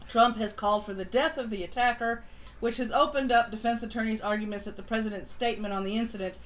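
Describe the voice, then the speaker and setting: A woman's voice: a little high, fairly even intonation, fast. Female live stream host, casual news commentary, blunt and sardonic, audible noise behind the voice.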